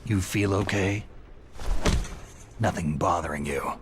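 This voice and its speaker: Low, gruff, terse soldier. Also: male voice, takes it slowly, noisy background. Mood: low, guarded. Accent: American. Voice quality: low gravelly growl. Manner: curt, commanding, deadpan. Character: gruff, terse, hardened male soldier on a solo mission. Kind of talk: dramatic video game voice acting.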